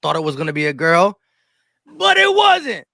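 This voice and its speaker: A man's voice: fairly high pitch, huge pitch swings, normal speed, creaky, pushed and bright, no room sound, studio quality. A man in his thirties chatting casually as a sports show host, emphatic and given to repeating himself. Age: 30s. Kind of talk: casual chat.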